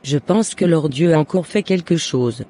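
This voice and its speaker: Man voicing a high-pitched jealous neighbour woman. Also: animated, moderate pace, dark tone. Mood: astonished, resigned. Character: jealous, scheming woman neighbour turned remorseful.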